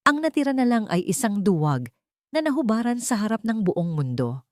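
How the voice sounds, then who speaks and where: A woman's voice: medium pitch, big pitch swings, normal speed, darkish tone, no echo, studio quality. A woman narrating an audio drama in a dramatic scripted storytelling style.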